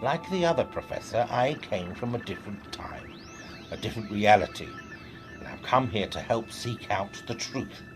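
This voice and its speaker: An actor playing a secretive, self-assured professor from another reality in an audio drama, with formal, theatrical delivery. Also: male voice, on the low side, big pitch swings, normal speed, over music. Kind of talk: scripted audio drama acting.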